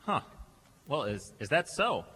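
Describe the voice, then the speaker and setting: A man's voice: a little high, sing-song, unhurried, pushed and bright, slightly rough, exaggerated voice. An actor playing a brash, hearty young businessman who talks big, in a stage radio play. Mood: hearty, boastful. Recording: echoey theatre hall.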